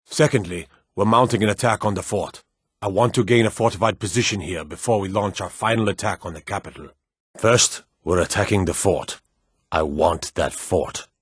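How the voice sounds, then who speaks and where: An actor playing a brusque soldier officer in a fantasy video game, giving terse, commanding, businesslike briefings. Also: male voice, sits low, moderate pace, slightly rough, no echo, clean studio sound. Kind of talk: scripted video game dialogue.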